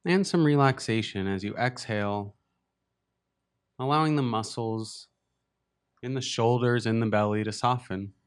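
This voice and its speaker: A man leading a guided meditation as a teacher, speaking gently and unhurriedly in short phrases with long pauses. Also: medium pitch, clear, resonant tone.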